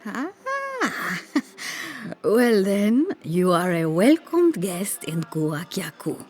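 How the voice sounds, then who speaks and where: An actress playing a kindly older village woman in a video game cutscene, speaking gently and with wistful amusement. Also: fairly low pitch, sing-song, drawn-out words, breathy edge, faint music behind, full character voice. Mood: welcoming.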